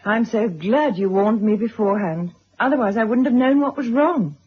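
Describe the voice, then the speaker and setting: An actress playing a gentle, solicitous middle-aged mother and wife in a radio drama, speaking considerately. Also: a little high, moderate pace, husky. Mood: confiding. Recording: dull old radio recording.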